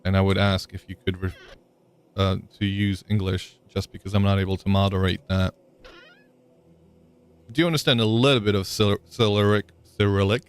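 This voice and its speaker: A man's voice: low-pitched, steady tone, normal speed, long pauses, clear, resonant tone. A man live-streaming a game, chatting casually, thinking aloud with frequent ums and answering viewers in chat.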